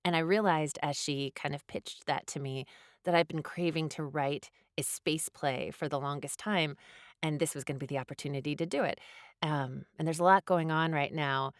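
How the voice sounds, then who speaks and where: Woman, interview guest, casual conversational storytelling, deep female register, no room echo. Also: fairly even intonation, moderate pace, studio quality.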